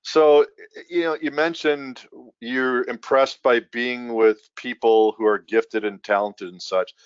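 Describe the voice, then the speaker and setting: A man's voice: mid-range voice, fairly even intonation, slow pace, smooth, dry recording, dull audio. A man hosting a podcast in casual conversation, joking and self-deprecating as he rambles between questions. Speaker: podcast host.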